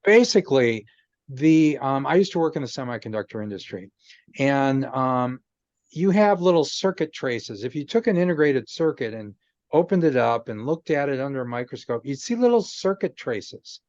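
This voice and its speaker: A man of ordinary pitch talks slowly through a technical point, pausing with fillers and restarting his sentences. His voice comes over a dry, dull-sounding video call with no room echo. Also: sing-song, a little mellow. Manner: patient, explanatory, many fillers and restarts. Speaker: guest explaining chip technology. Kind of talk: casual chat on a video call. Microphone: video call microphone.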